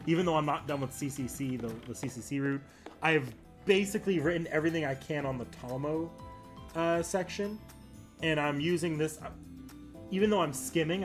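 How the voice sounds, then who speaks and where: A man chatting casually while streaming a game. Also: medium pitch. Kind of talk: casual chat while gaming.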